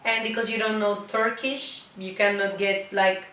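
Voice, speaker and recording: Bright-voiced woman host, echoey room. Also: medium pitch, flat delivery, normal speed. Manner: chatty, guiding questions. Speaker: host, interviewer. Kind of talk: casual interview chat. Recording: echoey room, distant mic.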